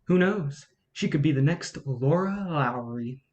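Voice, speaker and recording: A woman's voice: low-pitched, expressive, unhurried, darkish tone, lo-fi sound. A woman playing a cunning, bitter male film distributor in a staged play reading, with a blunt, cocky manner. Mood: bitter, cutting.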